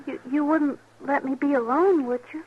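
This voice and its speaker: A woman's voice: sits high, normal speed, slight background noise, lightly acted. A woman playing an inquisitive, plain-spoken little frontier girl in an old-time radio western, with a persistent, childlike manner. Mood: pleading. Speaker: radio drama actor. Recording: muffled old radio recording.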